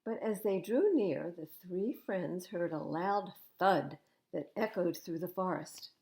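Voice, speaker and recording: An older woman reading picture books aloud as a library storytime host, in an expressive, animated manner. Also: lowish voice, slow pace. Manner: animated, acting out dialogue.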